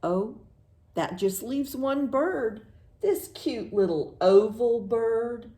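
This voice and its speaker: A woman's voice: fairly high pitch, slow, warm tone. An American woman hosting storytime for young children, reading in a singsong way and asking simple questions, then affirming the answers. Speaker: children's librarian, storytime host.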